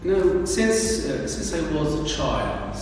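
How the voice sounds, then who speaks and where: An Algerian man, a native Arabic speaker, speaks slowly and deliberately, pausing and restarting as he answers. He sounds far from the mic in a strongly echoing room with a long reverb tail and a faint hiss behind him. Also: on the high side, sing-song, darkish tone, faint music behind. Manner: slow, measured, with many pauses and restarts. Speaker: English teacher, guest. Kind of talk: interview answers.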